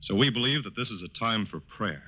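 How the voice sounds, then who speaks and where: A man with an American accent doing scripted 1940s radio announcing in a formal, measured, solemn way. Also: fairly low pitch, animated, slightly rough. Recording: dull lo-fi 1940s radio recording.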